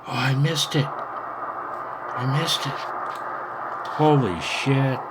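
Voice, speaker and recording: A man's voice: mid-range voice, fairly even intonation, extremely slow, very noisy. A man talking casually to the camera in a salty, jokey, exclamatory way as an amateur radio operator, recorded on a handheld phone. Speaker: amateur radio operator filming his own contact. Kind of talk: casual excited talk to the camera.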